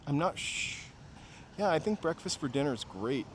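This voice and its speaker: A man's voice: a little high, very animated, moderate pace. A man from New Hampshire hosts a walking livestream outdoors, chatting casually in rambling asides to his viewers. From New Hampshire, USA. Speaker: walking-tour livestream host. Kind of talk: casual chat while walking. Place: outdoors on city streets, walking. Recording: outdoor street noise, passing vehicles. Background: traffic and urban ambience.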